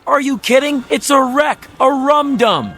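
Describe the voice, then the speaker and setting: An actor in a radio drama playing a fast-talking, folksy used-car salesman who gets flustered and bluntly honest. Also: extremely high pitch, fairly bright tone, soft background music, exaggerated voice. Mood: blunt, exasperated. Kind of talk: old-time radio drama acting.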